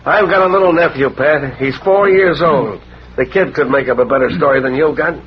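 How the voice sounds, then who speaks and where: A man's voice: a little high, normal speed, lightly acted. An actor playing a skeptical, sarcastic police sergeant in 1940s radio drama, needling and full of tough-guy slang. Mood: sarcastic, mocking. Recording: noisy muffled vintage radio recording.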